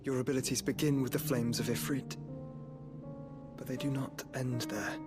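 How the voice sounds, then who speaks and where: An earnest, learned young nobleman , played by a man, speaks in a fantasy game cutscene. His delivery is solemn and formal with archaic phrasing, at a medium pitch, with music clearly behind him. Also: steady tone, normal speed, lightly acted.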